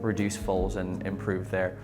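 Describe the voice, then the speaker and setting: A man who grew up in Malawi, talking about his work with relaxed, explanatory speech and some fillers. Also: low voice, unhurried, over loud music.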